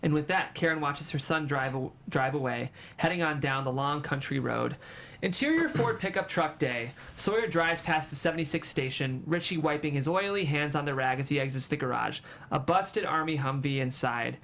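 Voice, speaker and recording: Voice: medium pitch, normal speed, fairly bright tone, a little hiss or hum. A narrator reading screenplay stage directions at a table read in a dramatic storytelling manner.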